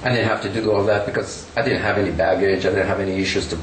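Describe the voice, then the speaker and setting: A man's voice: on the low side, steady tone, racing. A man being interviewed, talking emphatically with many fillers. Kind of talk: casual recounting. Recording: echoey room, distant mic, faint noise.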